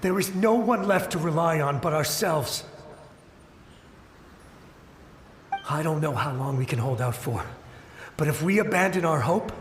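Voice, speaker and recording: A man's voice: on the high side, normal speed, slight background noise, lightly acted. A man voicing the ghost of a battle-weary samurai soldier in a video game, with an urgent, pleading, dramatic delivery. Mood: urgent, grim. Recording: echoing hall-like reverb, music underneath.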